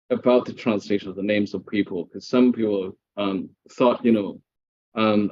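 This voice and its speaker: A man's voice: medium pitch, no room sound. Brief: male professor interviewer, hesitant and restarting, dry muffled lo-fi audio. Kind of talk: interview questions.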